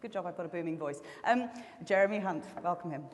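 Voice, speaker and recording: A woman hosting a political event on stage, brisk and upbeat. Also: on the low side. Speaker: event host. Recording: noisy crowded hall with long reverb.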